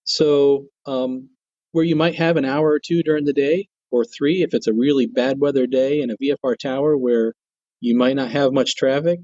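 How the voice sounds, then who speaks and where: A man's voice: medium pitch, mellow, dry recording, dull audio. A man speaking as an interview guest, an air traffic control manager explaining his work in an earnest, folksy, conversational way.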